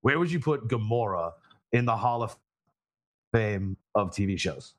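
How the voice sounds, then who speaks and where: A young man hosting a podcast, chatting casually in an animated way and swearing freely. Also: unhurried. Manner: animated, swearing, jumps up in pitch. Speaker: podcast host.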